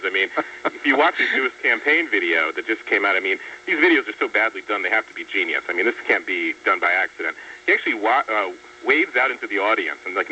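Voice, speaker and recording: A man with a slightly low but very bright, tense voice talks quickly as a political commentator on the radio. He is articulate and sardonic, with a note of disbelief. The audio is dull and a bit distant over a faint hum. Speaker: radio show guest commentator.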